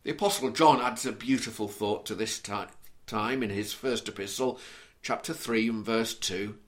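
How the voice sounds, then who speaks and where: A man from Liverpool with a mild Scouse accent, preaching an earnest, measured sermon and reading scripture aloud. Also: on the low side. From Liverpool, England.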